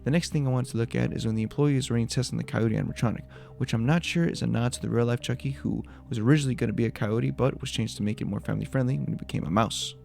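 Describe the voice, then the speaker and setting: Man, video creator, scripted breakdown narration, fast delivery, creaky edge. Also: medium pitch, a little mellow.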